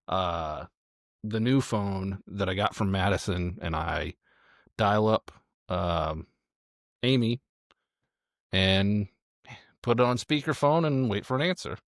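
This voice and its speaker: Low-voiced man in casual game chat. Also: fairly bright tone, no echo, studio quality. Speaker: tabletop roleplaying player.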